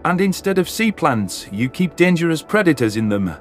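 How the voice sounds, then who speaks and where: A man's voice: on the high side, very animated, moderate pace, faint music behind, pitched above the performer's own voice. A man voicing an anxious, grumbling alien soldier with a condescending streak in a sci-fi audio drama. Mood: indignant, alarmed. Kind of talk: audio drama character dialogue.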